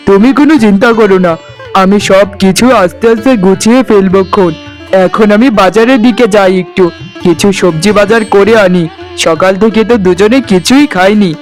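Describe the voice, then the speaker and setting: A man's voice: sits high, normal speed, soft background music, natural voice. A man voicing a caring, cheerful young village husband in a cartoon, homely and reassuring. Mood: contented, caring. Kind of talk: cartoon voice acting.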